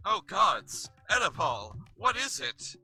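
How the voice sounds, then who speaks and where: An excitable male wolf alien from a visual novel, acted by a man through a voice changer. The voice is pushed high and very bright, with wide swings in pitch. It exclaims loudly and dramatically, on the edge of panic. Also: takes it slowly, faint music behind, exaggerated voice. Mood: alarmed, furious.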